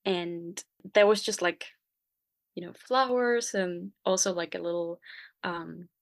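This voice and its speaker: A woman's voice: fairly low pitch, steady tone, takes it slowly, gravelly. Danish-accented woman, hesitant and chatty. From Denmark. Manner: hesitant, many fillers and restarts. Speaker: guest artist. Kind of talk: casual conversation.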